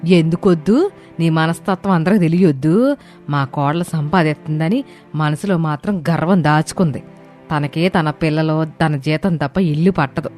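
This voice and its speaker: A woman's voice: low-pitched, moderate pace, a little mellow, soft background music, close to an everyday voice. A woman voicing a bitter, scornful older mother-in-law in a family moral story, grumbling and accusing. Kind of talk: animated moral story dialogue.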